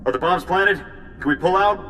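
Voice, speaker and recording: An actor playing a tense, gruff soldier in a video game, reporting from battle in urgent, clipped phrases. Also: male voice, sits high, animated, a little fast, fairly bright tone, in a large hall, a little hiss or hum. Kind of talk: video game cutscene acting.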